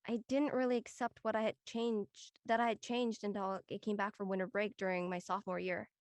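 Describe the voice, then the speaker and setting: A woman's voice: medium pitch, flat delivery, brisk, no echo, natural voice. A woman playing an easygoing adult aunt in a naturalistic live script reading. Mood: hesitant, reflective. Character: easygoing adult aunt recalling her college years. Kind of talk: naturalistic live table-read acting.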